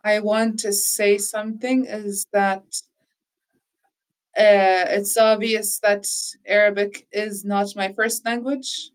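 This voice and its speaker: Earnest woman speaking in measured phrases. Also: mid-range voice, little pitch movement, smooth. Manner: measured, earnest, with frequent restarts. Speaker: interviewee on healing practice. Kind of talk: reflective conversational talk.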